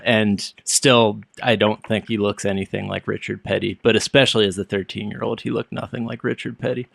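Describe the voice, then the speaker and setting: Male podcast host, lower voice, loose and jokey, dry studio mic. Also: little pitch movement, fairly quick, gravelly, fairly bright tone, no room sound. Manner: laughs often, loose and joking. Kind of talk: casual podcast chat.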